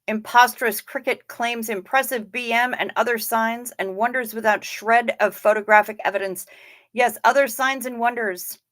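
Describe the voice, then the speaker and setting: A woman's voice: on the high side, fairly even intonation, moderate pace, a bit bright, no echo. A woman in her fifties hosting a casual livestream, reading chat comments aloud in a chatty, wry way with casual swearing. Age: 50s. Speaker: livestream host.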